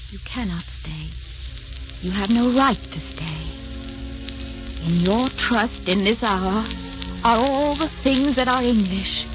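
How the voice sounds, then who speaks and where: A woman's voice: on the high side, takes it slowly, long pauses. An actress playing a devoted, self-sacrificing lady lover in an old-time radio romance, speaking in a solemn, declamatory way. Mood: noble, impassioned. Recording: muffled old radio broadcast, music behind.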